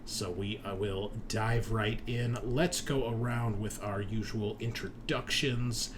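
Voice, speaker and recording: Man, lower pitch, casual host, informal chatter, noticeable background noise. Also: steady tone, slow pace. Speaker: host and game master. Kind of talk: casual podcast introduction.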